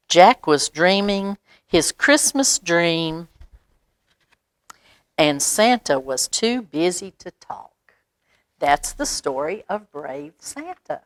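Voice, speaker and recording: Woman, children's storytime reader. Animated pitch, leisurely pace, dry close sound. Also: no echo. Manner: expressive, pauses for asides and questions to young listeners. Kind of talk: read-aloud picture book storytelling for children.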